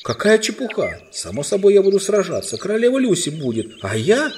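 Man voicing an excitable high-pitched boy prince. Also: a little fast, a little hiss or hum. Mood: defiant, indignant. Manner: exclamatory, impetuous. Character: excitable, brave, unruly young boy prince.

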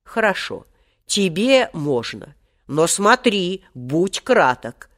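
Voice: mid-range voice, sing-song, takes it slowly, a bit bright, pitched above the performer's own voice. A storybook reader voicing an ancient, wrathful male genie who speaks in a pompous, archaic and menacing way. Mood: stern, impatient. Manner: archaic, grandiose, menacing speech. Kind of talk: acted children's storybook reading.

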